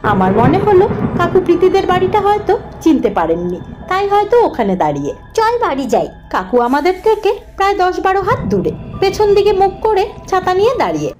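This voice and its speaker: A woman narrating a scripted horror cartoon story in the first person, dramatic and expressive. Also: extremely high pitch, a little fast, soft background music.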